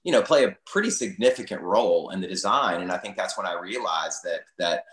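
Deep-voiced middle-aged man in an echoey room. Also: lively intonation, a little fast, fairly bright tone. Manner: rambling, peppered with "you know". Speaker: restaurateur podcast guest. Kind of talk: casual storytelling in an interview. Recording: roomy, echoing sound.

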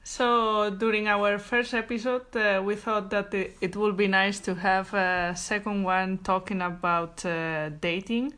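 A woman co-hosting a podcast, speaking hesitantly with many pauses on "uh". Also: mid-range voice, steady tone, a bit bright. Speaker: podcast co-host.

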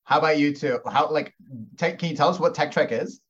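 A man's voice: a little high, brisk, fairly bright tone, breathy edge, dull audio. A young man co-hosting a casual student podcast, upbeat and enthusiastic as he announces events. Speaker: podcast co-host.

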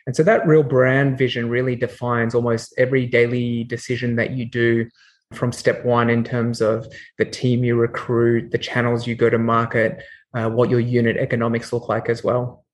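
A man with an Australian accent, a company founder explaining things in a measured way with frequent fillers in a podcast interview. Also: mid-range voice, flat delivery. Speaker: guest, company CEO.

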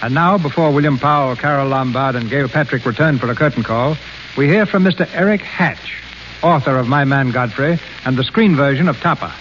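A man hosting an old radio show with a smooth, formal announcer's delivery. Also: mid-range voice, brisk, fairly bright tone. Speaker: radio host. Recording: dull old radio broadcast with faint hiss.

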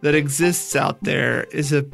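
A man's voice: a little high, measured pace, creaky, a bit bright, faint music behind. A man hosting a podcast, interviewing and narrating in a documentary style. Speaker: podcast host.

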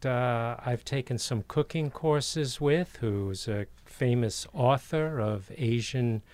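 A man hosting an interview show, speaking slowly and haltingly with many uh fillers. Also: mid-range voice, a little mellow. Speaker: interview host. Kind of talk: casual interview.

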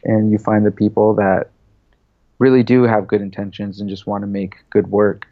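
A man's voice: lowish voice, level tone, normal speed, no echo, phone-quality sound. A man in his thirties, a filmmaker and podcast guest, talking in a loose, rambling way full of fillers. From United States. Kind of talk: casual interview.

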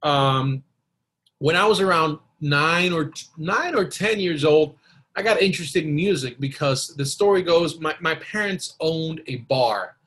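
A male podcast guest from Costa Rica whose first language is Spanish. His voice sits a little higher than average, and he talks in an informal, anecdotal way. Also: lively intonation, a little slow, a bit bright. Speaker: podcast guest, blues guitarist. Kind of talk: casual storytelling in an interview.

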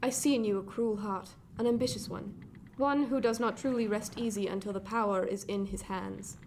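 A woman playing a middle-aged, cold and imperious 18th-century gentlewoman in a radio drama, speaking formally and bitingly. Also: medium pitch, fairly even intonation, moderate pace, lightly acted. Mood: cold, accusing. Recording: clear background noise, ship ambience.

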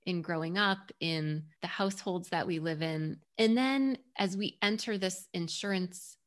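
Female podcast host, low register, reflective and articulate, conversational interviewing. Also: measured pace.

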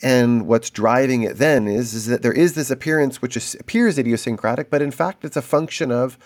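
A man hosting an interview, working through long hypotheses out loud and asking probing questions. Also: medium pitch, a little fast. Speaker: interviewer host.

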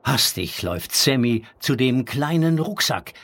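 Husky, animated male storyteller. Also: mid-range voice, measured pace. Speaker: narrator. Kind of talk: scripted storytelling for a children's audio drama.

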